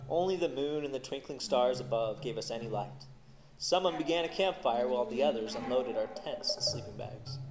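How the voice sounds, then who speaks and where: A man narrating a first-person story in an expressive, dramatic way as host of a story show. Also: mid-range voice, brisk, over music, poor audio. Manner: dramatic, animated on exciting parts.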